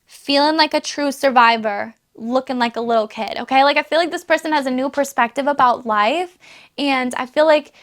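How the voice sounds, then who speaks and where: A woman's voice: high-pitched, moderate pace, fairly bright tone. A woman giving a casual, chatty tarot reading, losing her voice a little, with frequent fillers and laughs. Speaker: tarot reader.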